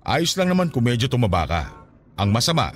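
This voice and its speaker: A man's voice: medium pitch, big pitch swings, a little fast, faint music behind, close to an everyday voice. A Filipino man acting a concerned, questioning, business-minded partner in a radio drama. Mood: caring, probing. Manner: questioning, solicitous.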